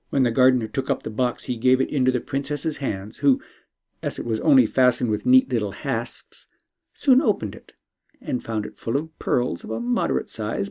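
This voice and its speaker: A male audiobook narrator tells a tale in a mellow voice with a creaky edge. His phrasing is careful and formal, and his pitch moves with lively expression. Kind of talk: scripted storytelling narration.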